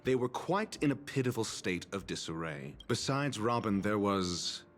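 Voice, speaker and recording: An actor playing a haughty, learned man in a video game, speaking with pompous, formal and deliberate phrasing. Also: lowish voice, expressive, natural voice. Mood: cool, condescending. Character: haughty, scornful, learned male scholar, cold and pompous. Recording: background music behind dialogue. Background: game music.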